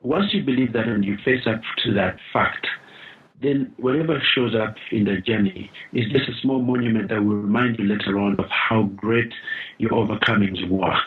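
A man from Uganda speaks earnestly as an interview guest, pausing and restarting as he tells his story. His voice sits a little low. The sound is muffled and lo-fi, as if coming over a call line. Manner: earnest, pauses with frequent uh fillers and restarts. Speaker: guest, founder of a charity. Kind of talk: podcast interview, reflective storytelling. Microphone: phone or call line.

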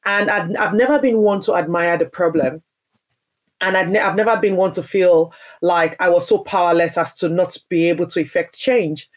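A woman's voice: lowish voice, fairly even intonation, moderate pace. Earnest woman from Nigeria telling her story. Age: adult, parent. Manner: earnest and emphatic, with frequent restarts. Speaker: podcast guest, business founder. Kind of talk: interview answer, personal story.

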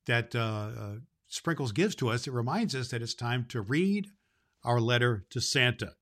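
Man playing a bright, jolly Santa Claus. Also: mid-range voice, lively intonation, moderate pace. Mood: warm, inviting. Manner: folksy, rambling, repeats phrases for emphasis. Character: jolly, kindly Santa Claus greeting children. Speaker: host. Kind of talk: children's holiday show hosting.

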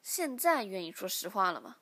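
A woman's voice: mid-range voice, sing-song, normal speed, pushed and bright. A woman voicing a stern male mercenary captain, curt and coldly menacing.

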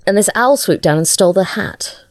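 A woman's voice: medium pitch, expressive, brisk, dry recording, studio quality. A woman chatting informally as an interview guest, with a self-deprecating way of talking. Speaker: writer and illustrator, interview guest. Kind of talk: casual interview chat.

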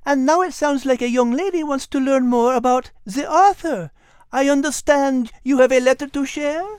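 A woman plays a refined little female animal in a children's audio drama. The voice is high and gentle, with articulate, teacherly phrasing. It sounds close and dry. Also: normal speed, dry recording, lightly acted. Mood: polite.

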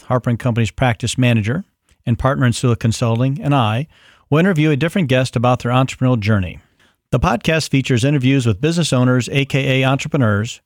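A man's voice: mid-range voice, no room sound, clean studio sound. A man hosting a podcast, introducing it and chatting casually in an interview. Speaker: podcast host.

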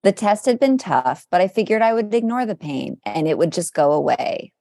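A woman's voice: lowish voice, sing-song, moderate pace, dark tone, dry recording. A woman co-hosting a podcast, chatting casually and reading aloud with some drama, with dry, playful commentary. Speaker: podcast co-host.

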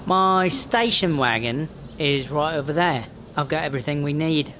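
A man's voice: a little high, normal speed, sharp tone, slight background noise. A man playing a brash, foul-mouthed English smuggler in a sci-fi comedy audio drama, sardonic and slangy. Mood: matter-of-fact.

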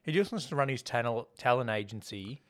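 A man's voice: medium pitch, lively intonation, brisk. A man co-hosting a casual movie review chat, joking and laughing often. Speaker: podcast co-host.

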